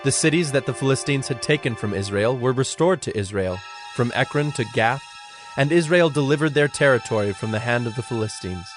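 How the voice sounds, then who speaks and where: Male scripture narrator over soft music. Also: mid-range voice, lively intonation, brisk.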